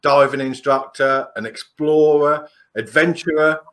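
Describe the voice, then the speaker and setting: A man's voice: fairly high pitch, measured pace, fairly bright tone, a little hiss or hum. A man hosting a podcast on a video call, giving a joking, friendly introduction to his guest. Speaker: podcast host. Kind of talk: casual interview.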